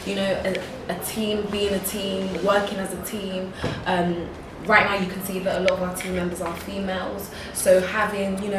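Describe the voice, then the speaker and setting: A woman from London with a London accent, answering interview questions conversationally, recorded with echo and noise. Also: fairly low pitch. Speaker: interviewee, youth organisation founder and teacher. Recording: echoey, distant mic, noisy background.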